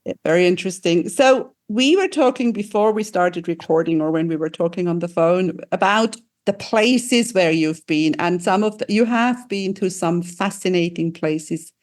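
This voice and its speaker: A woman hosting a conversational interview, asking questions in an inquisitive way with halting phrasing. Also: fairly low pitch, a little mellow. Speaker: interview host.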